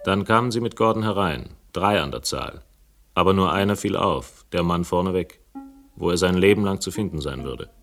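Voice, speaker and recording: Deep deadpan crime story narrator, ironic, faint music behind, radio play. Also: male voice, normal speed. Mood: wry. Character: wry, laconic male narrator of a detective story.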